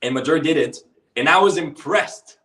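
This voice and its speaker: A man's voice: on the high side, moderate pace, fairly bright tone, reverberant, distant mic. A man hosting a live speaking workshop who calls everyone brother, speaking English as a non-native. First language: not English. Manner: calls participants brother and bro, upbeat coaching. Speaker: workshop host and speaking coach. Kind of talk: live online workshop, casual and encouraging.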